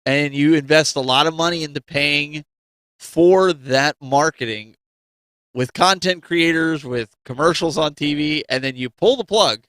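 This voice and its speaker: Emphatic, higher-voiced man hosting. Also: unhurried, fairly bright tone, dry recording, low-quality recording. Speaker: host of a gaming news show. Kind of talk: casual conversational debate.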